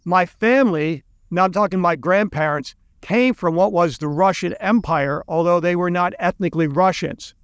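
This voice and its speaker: Middle-aged American man, higher pitch, smooth clear voice, rambling unscripted lecture. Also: normal speed, few pauses, no room sound, clean studio sound. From United States. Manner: loose, rambling, with restarts and asides. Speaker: college teacher and video lecturer. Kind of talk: unscripted talk to camera.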